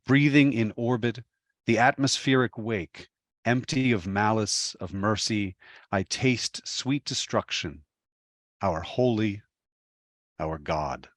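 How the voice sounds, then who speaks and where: A man giving a dramatic, solemn poetry reading in deliberate short phrases. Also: on the low side, no room sound. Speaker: poet reading a poem.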